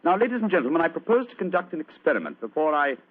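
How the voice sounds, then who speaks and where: A man playing a shrewd, courteous gentleman detective in a radio drama, formal and theatrically authoritative. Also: on the high side, big pitch swings, rapid-fire. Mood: commanding, explanatory. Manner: hesitant coaxing, formal explaining. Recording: muffled, lo-fi old radio recording.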